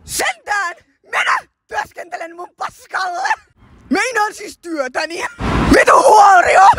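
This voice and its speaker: Woman voicing a shrill, hot-tempered cartoon demon. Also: sing-song, a bit bright, dry recording, raised voice, full character voice. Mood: furious. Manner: brash, sweary, exclaiming. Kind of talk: amateur Finnish cartoon fandub. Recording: home recording with clear background noise.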